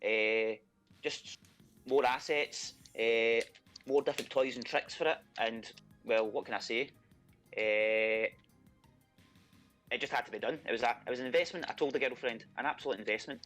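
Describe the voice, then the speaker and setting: A man's voice: mid-range voice, moderate pace, pauses often, fairly bright tone, faint music behind. A man from Scotland with a thick Scottish accent, chatting casually as a contestant being interviewed, with many fillers and a self-deprecating tone.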